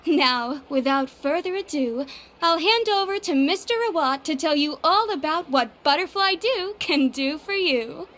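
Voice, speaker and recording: A woman's voice: sits high, animated, measured pace, a bit bright. A woman playing an enthusiastic, polished shop presenter making a formal, showy promotional announcement in a video game. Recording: music bed behind voice.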